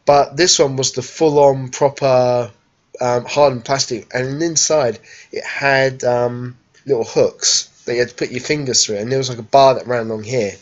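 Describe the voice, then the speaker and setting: Man, 30s, hoarse from a cold, casual rambling vlogger, muffled webcam audio. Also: medium pitch, steady tone, moderate pace. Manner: rambling, chatty, many fillers. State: croaky with a cold. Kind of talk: casual vlog chat. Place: living room sofa at home, then busy indoor exhibition hall. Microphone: webcam at home, handheld phone selfie at the show.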